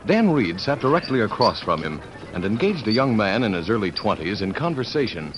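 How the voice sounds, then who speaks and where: Low, animated male radio narrator. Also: fairly quick, background noise. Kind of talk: scripted old-time radio narration. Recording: old radio recording.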